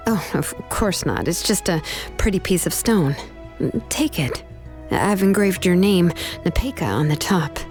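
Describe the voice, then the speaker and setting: Laid-back, reassuring young woman, music behind. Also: on the low side. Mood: gently reassuring. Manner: calm, unhurried, gently reassuring. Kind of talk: video game story voice acting. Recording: music under the voice.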